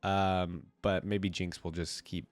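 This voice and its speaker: A man's voice: low-pitched, little pitch movement, slow, no echo. A man with a cold, rambling casually as he hosts a reaction video. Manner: rambling, full of fillers. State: congested with a cold.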